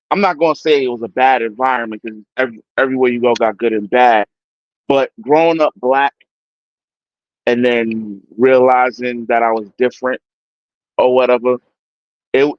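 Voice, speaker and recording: A man's voice: medium pitch, no room sound, highs cut off. A man from New York, a guest in a casual personal conversation, speaking reflectively and often saying "it's like".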